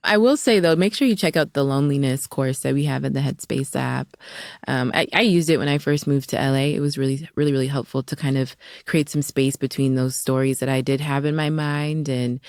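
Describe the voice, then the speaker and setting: A woman with a notably low voice gives calm, earnest advice as a meditation teacher. She speaks steadily and sincerely. The recording is dry and close. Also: brisk, no echo. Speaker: meditation teacher and podcast guest. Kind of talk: casual advice podcast chat.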